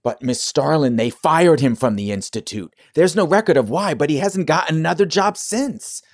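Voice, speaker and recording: A man's voice: fairly high pitch, lively intonation, normal speed, no room sound, clean studio sound. An actor playing an anxious, devoted male secretary in a campy audio drama, deferential and earnest. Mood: fretful.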